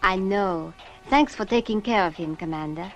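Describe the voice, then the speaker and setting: An actress playing a cool, affectionate, gently teasing spacewoman in a 1960s puppet series, with a languid, coaxing manner. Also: medium pitch, normal speed, lightly acted. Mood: friendly. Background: loud music.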